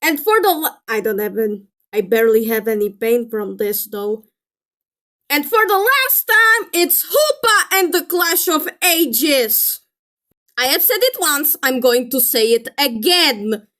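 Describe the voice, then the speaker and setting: A woman's voice: high-pitched, lively intonation, unhurried, bright, forceful tone. A woman giving opinionated commentary in an exasperated, emphatic manner. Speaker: video creator. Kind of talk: commentary reacting to a film critique.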